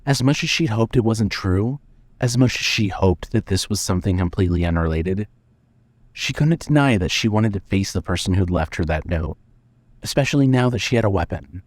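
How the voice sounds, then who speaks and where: Dry close recording, male narrator, lowish pitch, scripted suspense storytelling. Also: expressive, moderate pace, dry recording.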